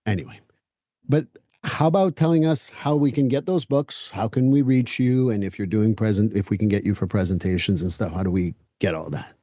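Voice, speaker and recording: A man with a French Canadian accent, a native French speaker, hosting a casual podcast interview and talking bluntly, even swearing. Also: fairly low pitch, a little fast, no room sound, clean studio sound. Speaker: podcast host.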